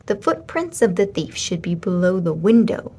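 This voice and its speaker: A woman voicing an eager, decisive male mouse detective with a brisk, commanding manner. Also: lowish voice, very animated, a little mellow, a little hiss or hum.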